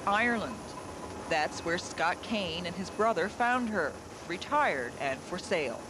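A man reading scripted narration for a television documentary. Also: sits high. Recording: engine and vehicle noise behind.

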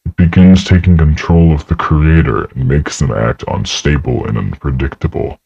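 A man playing a grave research director, narrating a horror case file in a formal, measured way. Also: a bass voice, vocal fry, mellow, slightly rough, no echo, highs cut off, soft background music, full character voice. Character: grave male research director logging a dangerous entity.

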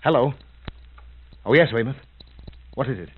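A man playing a brisk commanding detective. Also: mid-range voice, lively intonation, lightly acted. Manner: clipped, urgent, commanding. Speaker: detective lead. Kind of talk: 1930s radio drama acting. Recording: tinny scratchy 1930s radio recording with hiss.

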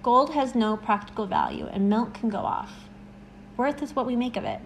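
A woman's voice: fairly high pitch, huge pitch swings, normal speed, full character voice. A woman playing a playful, teasing young extrovert. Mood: fond, teasing. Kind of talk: acted short-story dialogue. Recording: clear background noise and ambience.

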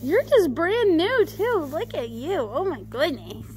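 Woman, high lively voice, casual and cooing, unhurried, farm noise behind her. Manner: cooing baby talk to animals. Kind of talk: casual chat with a child and animals. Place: dairy farm, among calves and cows. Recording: noisy farm ambience.

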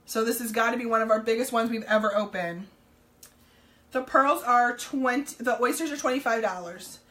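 A woman, slightly hoarse, chatting casually with viewers while selling pearls on a home live stream. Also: on the high side, normal speed, a bit bright. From New Jersey, US. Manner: chatty, upbeat, many ums, greets viewers. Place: home room, indoors.

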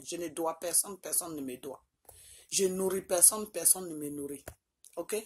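A woman's voice: low-pitched, darkish tone, a little hiss or hum. A middle-aged woman from Côte d'Ivoire with an Ivorian French accent, talking straight into her phone camera from a small room, insisting on her points and checking in with "ok?" again and again. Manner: insistent, repeats points with "Ok?" tags. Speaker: vlogger. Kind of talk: direct-to-camera personal address. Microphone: phone camera at arm's length.

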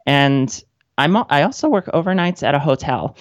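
A woman's voice: sits low, animated, moderate pace, no room sound. A woman in her thirties talks casually as an interview guest, with a wry manner. Her voice comes through dry and slightly dull, as if band-limited. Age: 30s. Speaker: radio guest, playwright.

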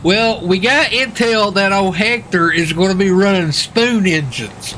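Bright, animated man, joking around. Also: sits high, a little slow, low-quality recording. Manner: joking, rambling, folksy. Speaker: role-play gamer playing a US marshal. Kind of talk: casual in-character game banter. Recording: game voice chat with clear vehicle noise.

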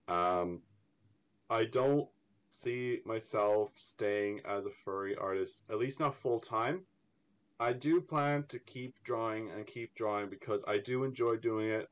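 A young man from Ireland with a slightly American-tinged Irish accent, chatting casually as an interview guest, rambling and stammering with frequent laughs. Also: fairly low pitch. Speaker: artist guest.

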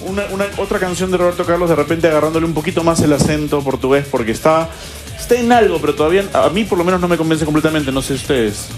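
A man's voice: fairly high pitch, fast talker, over music. A man judging contestants on a live televised talent show, in a TV studio.

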